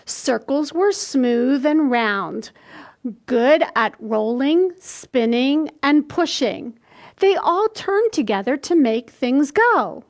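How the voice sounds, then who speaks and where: High-voiced older woman reading to children. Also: animated. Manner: simple, deliberate explaining to small children. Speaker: library storytime host. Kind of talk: children's storytime read-aloud. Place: small indoor room.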